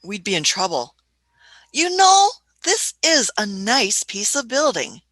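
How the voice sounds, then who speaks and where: A woman's voice: fairly high pitch, big pitch swings, slow pace, fairly bright tone, no room sound, full character voice. A woman playing an eccentric, curious elderly time traveller who mutters and hums while she thinks, in an amateur radio play. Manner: muttering hmms, musing, hesitant restarts.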